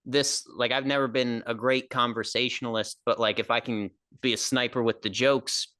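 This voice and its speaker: Nasal young man with a bright voice. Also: medium pitch, normal speed, dry recording, studio quality. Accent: New Jersey. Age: 20s. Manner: rambling, filler-heavy, relaxed. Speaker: comedian guest. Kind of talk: casual podcast interview.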